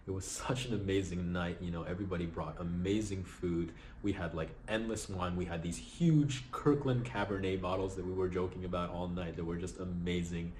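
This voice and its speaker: A man hosting his own vlog, talking casually and reflectively about gratitude, low on energy and slightly raspy after a long night. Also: a little fast, few pauses, a little hiss or hum. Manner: relaxed, reflective, keeps adding "right?". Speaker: vlog host. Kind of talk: casual motivational vlog talk.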